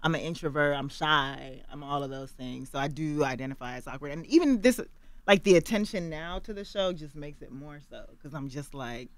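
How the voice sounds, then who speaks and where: A woman from Los Angeles, a guest on a radio interview, telling her story in a candid, animated way. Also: low voice, brisk, no echo. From Los Angeles, USA.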